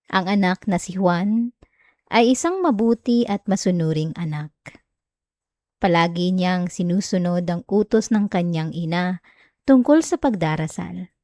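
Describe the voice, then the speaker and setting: A woman with a Filipino accent playing a sweet, clingy young wife in an intimate ASMR roleplay, speaking softly and affectionately close to the mic. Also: lowish voice, no echo. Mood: calm, soothing. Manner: soft, giggly, affectionate, coaxing. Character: sweet, clingy, teasing young wife soothing her partner. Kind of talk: intimate ASMR roleplay, bedtime chat and story reading. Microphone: close microphone, dry sound.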